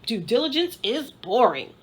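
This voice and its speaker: A woman's voice: on the high side, big pitch swings, moderate pace, slightly rough, pitched above the performer's own voice. A woman voicing a restless, bored, blunt schoolgirl in a children's storybook, with a whiny, pointed way of talking. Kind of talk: children's storybook read-aloud.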